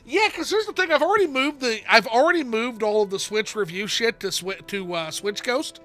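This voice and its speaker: A man's voice: very high voice, lively intonation, fairly quick, pushed and bright. A man hosting a casual game livestream, rambling as he reads out viewer chat and answers it. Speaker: streamer host.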